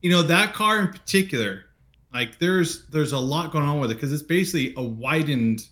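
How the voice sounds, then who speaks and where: A man hosting a casual car conversation, relaxed and rambling, with hesitant restarts. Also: fairly high pitch, normal speed. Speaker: host.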